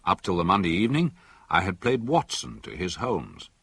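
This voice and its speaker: Husky doctor narrator, very expressive. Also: male voice, low voice, normal speed, no room sound, heavily acted. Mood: reflective. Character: English doctor narrating a murder mystery, measured and reflective. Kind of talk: scripted first-person narration in radio drama.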